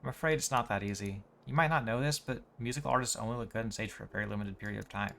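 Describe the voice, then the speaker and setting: A man's voice: on the low side, racing, gravelly, a bit bright. A man voicing a proud, worried female musical theater performer with formal phrasing, from game dialogue. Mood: resigned, worried. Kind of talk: reading game dialogue aloud.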